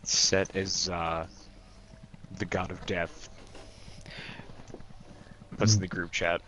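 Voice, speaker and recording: A man's voice: sits low, level tone, very slow, pushed and bright. A man playing a tabletop roleplaying game, casually describing what his character does, with some background noise.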